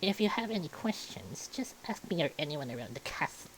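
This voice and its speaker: Guy doing a reserved raven doctor from a visual novel, pitched up high, polite n kinda hesitant, some noise behind. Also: sing-song, fairly quick, a bit bright, rough recording. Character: reserved raven doctor, male, slightly annoyed, curious.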